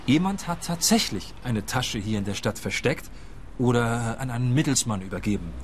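A naive, bumbling detective, played by a man speaks, slow and earnest, taking every phrase literally. His pitch sits in a middling range. A faint hiss of noise runs under his radio play lines. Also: animated, close to an everyday voice.

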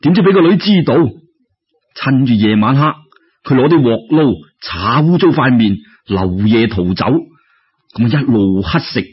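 Husky Cantonese man telling folk tales. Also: medium pitch, moderate pace, lo-fi sound. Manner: colloquial, expressive, exclamatory. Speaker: storyteller. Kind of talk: animated Cantonese folk-tale storytelling.

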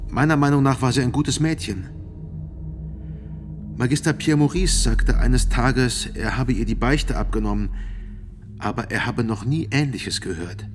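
A man's voice: mid-range voice, measured pace, music in the background, close to an everyday voice. An actor playing a reluctant physician in his forties, testifying formally and carefully in a medieval trial radio play. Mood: guarded, earnest. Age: 40s. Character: middle-aged male physician, guarded, reluctant medieval trial witness.

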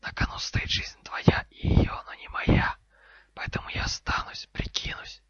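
An earnest young boy wizard acted by a man speaks in an unvoiced, hissing whisper. He stays composed and deliberate, with clipped phrasing. It is a dry, close storybook reading. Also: fairly bright tone, no echo, highs cut off, close to an everyday voice. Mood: determined.

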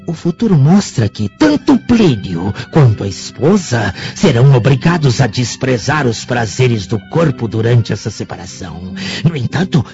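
A man's voice: medium pitch, very animated, moderate pace, vocal fry, darkish tone, soft background music. An actor playing a sly, sinister male sorcerer who foretells in a mocking, ominous, theatrical manner in a radio drama. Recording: dull lo-fi radio drama recording.

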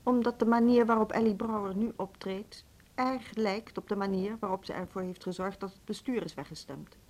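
An actress as a proud woman in authority in a radio play. Her mid-pitched voice is articulate and pointed, with a resolute, suspicious temper. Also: moderate pace, darkish tone, lightly acted. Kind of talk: naturalistic Dutch radio drama acting.

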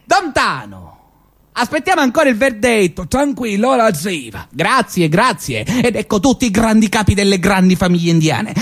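An actor playing a sardonic young radio announcer with a Sicilian accent, mocking local bosses in a sing-song satirical monologue. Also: male voice, sits high, very animated, normal speed, fairly bright tone, heavily acted. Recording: theatre stage recording.

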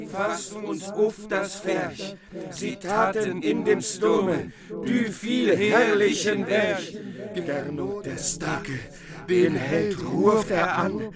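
An actor playing a bard who declaims medieval heroic verse in a radio drama. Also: male voice, sits high. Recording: loud crowd din behind the voice.

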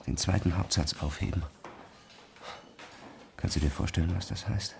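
An actor playing an obsessive, distracted physicist who speaks haltingly and intensely in a radio drama. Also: male voice, low-pitched, creaky, background noise. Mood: quietly insistent. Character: obsessive, distracted male physicist sure of his discovery.

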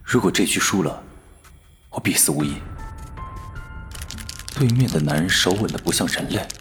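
Man playing a deep-voiced, cheeky young gambler. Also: animated, normal speed, fairly bright tone, soft background music. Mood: tense, calculating. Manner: wry, grumbling. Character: cheeky, shrewd young male gambler working for boss. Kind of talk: Chinese audio drama acting with inner monologue.

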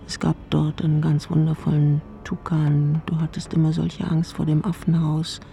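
A woman's voice: low voice, completely flat delivery, gravelly, dark tone. An older woman playing a frail, eccentric elderly mother in a radio play, nostalgic and imperious. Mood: dreamy, nostalgic. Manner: slurred, drowsy, halting recollection.

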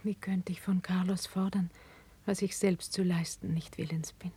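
A woman's voice: fairly low pitch, level tone, moderate pace, slight background noise, close to an everyday voice. An actress playing a noble, dignified young queen in a classical verse radio play of the 1950s, speaking solemnly and declaiming. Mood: tender, sorrowful. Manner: declamatory, hushed and breathy, solemn. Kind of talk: 1950s German stage-style radio acting of classical verse.

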